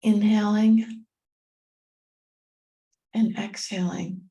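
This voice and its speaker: A woman leading a guided meditation in a soothing, gentle manner, leaving long pauses between short phrases. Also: medium pitch, steady tone, low-quality recording. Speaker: meditation guide and tapping coach.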